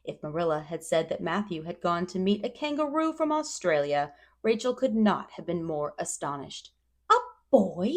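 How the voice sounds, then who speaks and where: A woman reading a children's storybook aloud as scripted narration, expressive and clearly phrased. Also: on the low side, normal speed, few pauses. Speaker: storyteller, reader.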